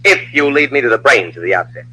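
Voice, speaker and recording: A husky American secret agent of vintage radio drama. He is tough and wry, with a forceful edge and lively pitch swings. It is an old radio recording. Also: fast talker, rough recording. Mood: wary, measured. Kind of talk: old-time radio acting.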